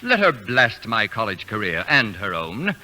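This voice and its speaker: An actor playing a pompous, erudite, unflappable gentleman with precise formal diction, in the manner of old radio comedy. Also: on the low side, huge pitch swings, measured pace, heavily acted. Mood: lofty, scolding. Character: pompous, imperturbable, erudite middle-aged gentleman college student. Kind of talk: old American radio comedy-drama acting. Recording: noisy vintage radio broadcast recording.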